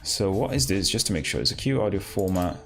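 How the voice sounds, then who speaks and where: A man hosting a live coding stream, talking casually and haltingly as he thinks aloud and asks himself questions. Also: sits low, lively intonation, fairly bright tone, faint music behind. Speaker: livestream host, programmer.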